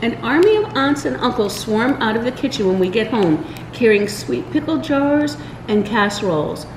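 An older woman reading a children's storybook aloud, expressive and deliberate, with small stumbles. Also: fairly high pitch. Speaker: library story time reader. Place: indoors in a large library room. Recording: roomy echo, faint background room noise.

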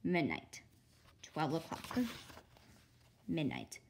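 A woman's voice: low-pitched, huge pitch swings, drawn-out words, gravelly, a little mellow, a little hiss or hum, voice pushed down, exaggerated voice. A woman voicing a mysterious old witchy neighbour in a storybook, muttering ominously in short phrases.

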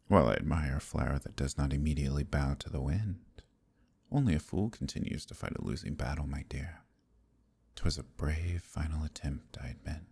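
A man playing a very deep, gravelly fae prince. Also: darkish tone, heavily acted. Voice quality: husky, gravelly, breathy. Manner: courtly, measured, dropping into whispers. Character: proud fae prince, teasing, possessive, courtly lover. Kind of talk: ASMR roleplay, intimate archaic theatrical acting.

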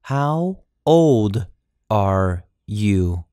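A man's voice: on the low side, big pitch swings, very slow, warm tone, clean tone, no room sound, studio quality. A man with a General American accent reading English phrases for learners, saying each one normally, then word by word. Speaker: English teacher. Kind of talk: slow language-drill reading.

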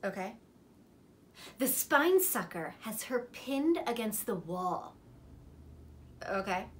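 An actress playing an excitable young woman who acts out a gory horror film in a theatrical monologue, halting and stammering with nervous laughter. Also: mid-range voice, a little hiss or hum. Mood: grim, intense.

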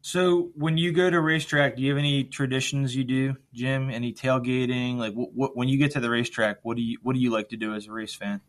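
A man's voice: mid-range voice, fairly even intonation, fast, few pauses. A man co-hosting a podcast, chatting casually and enthusiastically, with slangy exclamations as he asks questions. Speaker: podcast co-host. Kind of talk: casual interview chat.

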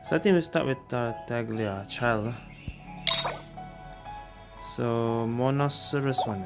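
A man's voice: medium pitch, slow, creaky. A man giving casual gameplay commentary, thinking aloud. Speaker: gamer, streamer. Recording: game music behind the voice.

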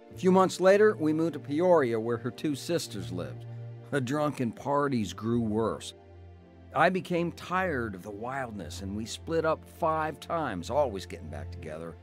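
A man's voice: medium pitch, close to an everyday voice. An actor playing a rebellious, hard-drinking young man in a radio drama testimony. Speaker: dramatised testimony narrator and lead. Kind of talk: radio drama narration and acting.

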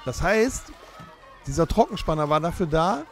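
A man's voice: on the high side, sing-song, normal speed, a bit bright, soft background music. A man hosting a podcast guessing game among friends, teasing the others and giving hints between laughs. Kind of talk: casual chat among friends.

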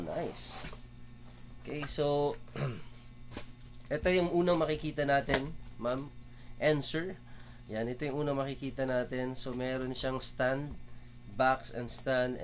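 A middle-aged man with a Filipino accent talks slowly and haltingly through an unboxing, full of fillers. His voice sits at an ordinary middle pitch, picked up by a camera mic off to the side in an indoor room with faint background noise. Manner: unhurried, halting, mixes English and Filipino, many fillers. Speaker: motovlog host. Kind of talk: casual unboxing narration.

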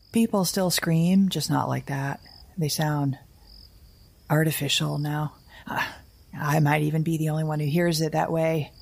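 A weary woman survivor talks into her audio-drama diary in a low, gravelly voice. She is anxious and wry, rambling haltingly and correcting herself as she goes. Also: a little mellow, heavily acted. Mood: uneasy, rambling. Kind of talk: naturalistic audio drama monologue.

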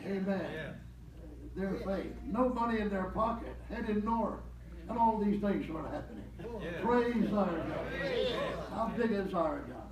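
A man preaching as leader of a church service, loudly exhorting in repeated declamatory phrases. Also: high-pitched. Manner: loud, declamatory, repetitive. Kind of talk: exhorting sermon. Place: large church sanctuary. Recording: echoey church from far off, crowd behind.

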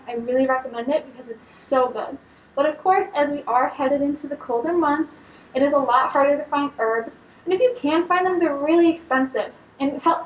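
A young woman giving an upbeat, instructive cooking demonstration in a large kitchen, talking as she works with food. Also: sits high. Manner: upbeat, instructive, talks while handling food. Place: large studio kitchen indoors. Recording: echoey kitchen, mic a bit distant.

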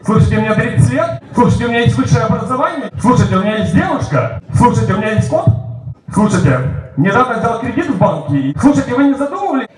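A man warming up a comedy crowd, repeating the same catchphrase again and again. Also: very high voice, very animated, quick pace, slight background noise. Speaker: opening comedian. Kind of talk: stand-up warm-up set. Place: theater stage. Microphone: handheld mic, filmed from far. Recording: echoey room, distant mic.

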